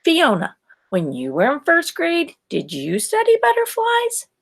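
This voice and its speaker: A woman's voice: very high voice, huge pitch swings, unhurried, gravelly, pitched above the performer's own voice, exaggerated voice. A woman voicing an eager, proud young schoolgirl who loves science, in a children's storybook read-aloud. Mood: curious, excited.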